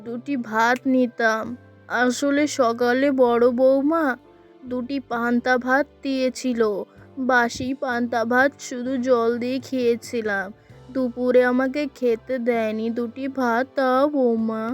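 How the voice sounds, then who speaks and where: A woman's voice: a little high, flat delivery, a little slow, soft background music, natural voice. Brief: frail old cartoon mother, put on by a woman, meek and pleading. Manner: pleading, gentle, weary.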